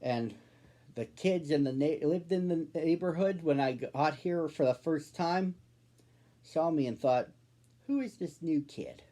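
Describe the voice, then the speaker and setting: A man's voice: fairly high pitch, unhurried, dark tone. A man in his thirties hosting and reading a storybook aloud for children. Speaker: storybook reader, host.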